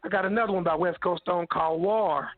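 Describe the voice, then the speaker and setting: A man from Kansas City, Missouri, with a hoarse, scratchy voice, chatting laid-back and slangy as a guest rapper. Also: high voice, fairly even intonation, fairly quick, no room sound. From Kansas City, Missouri, USA. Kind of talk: casual interview chat. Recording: dry tinny phone line.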